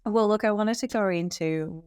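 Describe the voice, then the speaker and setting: A woman's voice: mid-range voice, normal speed, darkish tone, clean tone. A woman hosting a casual interview podcast, asking questions and reacting with short encouraging responses. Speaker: podcast host.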